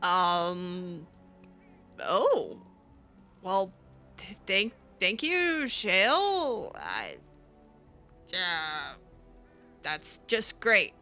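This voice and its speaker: A woman playing a friendly, flattering elf mage in improvised game roleplay, with an informal, hesitant, coaxing manner. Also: on the low side, extremely slow, long pauses, soft background music, heavily acted. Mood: eager, awkward.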